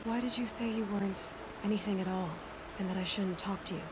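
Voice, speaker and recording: A woman's voice: medium pitch, fairly even intonation, quick pace, lightly acted. Woman playing a direct, self-assured young huntress. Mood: concerned. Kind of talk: video game voice acting. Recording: clear background ambience noise.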